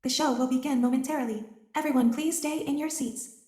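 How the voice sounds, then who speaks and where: Woman as a high, echoey show announcer. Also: fairly even intonation, brisk, mellow, close to an everyday voice. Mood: calm, formal. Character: polite female announcer of a stage show. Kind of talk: scripted venue announcement. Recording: echoey announcement in a large space.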